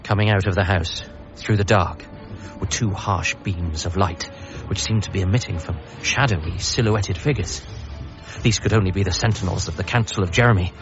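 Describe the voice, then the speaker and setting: A man's voice: low voice, normal speed, highs cut off, music in the background. An actor playing a frantic, paranoid son who earnestly believes he must save the Earth, in a radio comedy drama. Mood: earnest, urgent. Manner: earnest, conspiratorial, self-deprecating. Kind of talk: radio comedy drama, first-person narration and dialogue.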